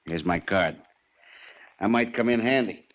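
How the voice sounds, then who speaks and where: A man performing a shady American private investigator, cocky and sly, in a lowish voice. He talks in slangy, insinuating tough-guy lines from a 1940s radio crime drama, on a muffled old recording. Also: unhurried, slight background noise, natural voice. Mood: mocking, guarded.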